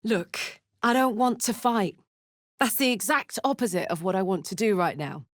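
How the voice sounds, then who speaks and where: A woman's voice: medium pitch, normal speed, dry recording, studio quality, close to an everyday voice. An actress playing a brash, big-hearted tiefling warrior woman in a video game, with a blunt, informal manner that turns from playful to tender. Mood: blunt, defiant. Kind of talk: video game character voice lines.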